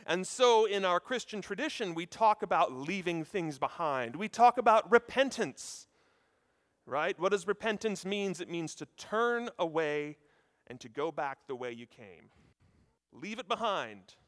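A man in his thirties from the United States preaches a sermon in a large hall, and the long reverb hangs after his loud, projecting voice. He pitches high and lively, swinging his intonation up and down as he talks with the congregation. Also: measured pace. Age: 30s. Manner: conversational, animated, addresses listeners directly. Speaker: preacher at a seminary chapel. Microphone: handheld or lapel mic.

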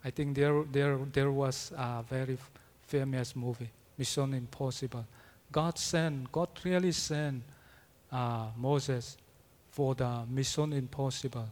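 A man who is not a native English speaker preaching a sermon, in halting English with frequent restarts. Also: medium pitch, steady tone, a little mellow. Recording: long hall reverb.

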